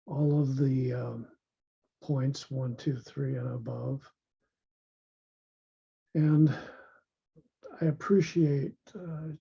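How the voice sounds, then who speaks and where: Tired guy, really dark raspy voice, slow and halting, sounds sick and low energy. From United States. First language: English. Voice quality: raspy, gravelly. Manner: slow and halting, with many pauses and restarts. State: worn out from illness, low energy. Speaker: language learner. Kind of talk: self-recorded video intro. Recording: dull lo-fi sound.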